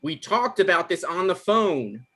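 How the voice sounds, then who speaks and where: A man's voice: high voice, very animated, unhurried, a bit bright, exaggerated voice. A man playing an anxious, apologetic man who gets defensive, stammering naturally as in a live drama table read. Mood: irritated, defensive.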